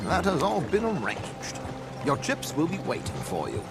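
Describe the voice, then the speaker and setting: An actor playing a flamboyant, scheming gentleman con artist in a video game, with a theatrical, grandiose, coaxing delivery. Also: a little high, very animated, moderate pace, loud music behind the voice. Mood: assured, scheming. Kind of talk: video game cutscene acting.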